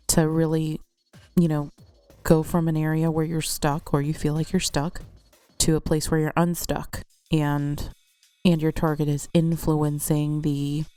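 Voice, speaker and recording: Low female voice, creaky, stuffed up with a cold, casual narrator, reflective and measured. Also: dark tone. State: congested from a cold. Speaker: host narrating a personal account.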